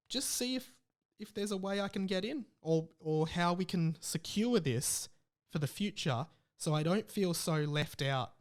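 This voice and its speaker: A man's voice: a little high, a little mellow. A man with an Australian accent hosting a podcast in casual, informal chat with a mock-aggrieved way of talking. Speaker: podcast host.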